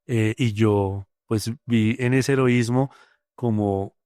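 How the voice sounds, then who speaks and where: Reflective Bogotá-accented man, smooth voice. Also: on the low side, steady tone, takes it slowly, warm tone, no echo, clean studio sound. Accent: Bogotá Colombian Spanish. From Colombia. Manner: thoughtful, many hesitant fillers. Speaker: poet. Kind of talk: reflective interview talk.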